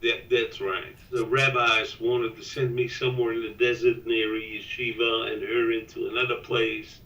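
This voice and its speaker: An older man, a father, talks candidly with his son in a documentary interview, halting and repeating himself. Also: medium pitch, little pitch movement, sharp tone, recorded from a distance. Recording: echoey room, away from the mic.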